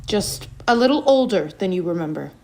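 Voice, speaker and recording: A woman playing a serene young cult convert and lost daughter in an audio drama, measured and insistent. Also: medium pitch, expressive, darkish tone, lightly acted. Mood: patient. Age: young adult.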